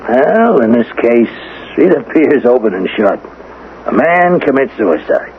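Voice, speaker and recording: An actor playing a shrewd, suspicious police lieutenant in a radio drama, needling with drawn-out, insinuating questions. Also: male voice, mid-range voice, very animated. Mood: wry, probing. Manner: drawling pauses, insinuating, folksy rhetorical questions. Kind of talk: 1970s radio drama acting. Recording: tinny vintage radio broadcast, faint noise.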